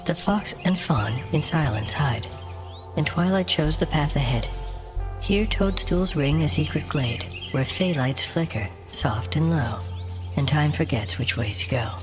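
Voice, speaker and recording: A man plays a thoughtful adventuring woman poet in a fantasy audio drama, the voice lifted to a middle pitch. She recites verse softly and with measured care, over prominent music and insect chirps. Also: animated. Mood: pensive, dreamy. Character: pensive female adventurer poet reciting her own verse.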